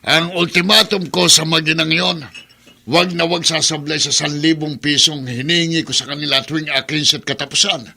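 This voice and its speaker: An actor playing a greedy, threatening brother-in-law in a radio drama, with a menacing, forceful delivery. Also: male voice, a little high, steady tone, normal speed, gravelly, full character voice. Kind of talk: Tagalog radio drama acting.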